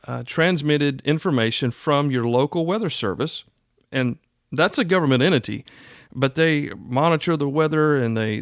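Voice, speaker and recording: A man's voice: mid-range voice, no echo. Middle-aged man, dry close mic. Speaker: podcast host. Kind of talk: casual explanatory monologue.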